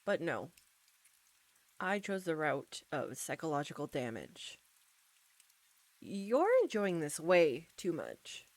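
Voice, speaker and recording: A woman playing an embarrassed, anxious young roommate in an intimate audio roleplay, speaking softly and haltingly straight to the listener. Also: low voice, very animated, no room sound, exaggerated voice. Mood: sheepish confessing. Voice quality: soft. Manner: halting, flustered, nervous stumbling.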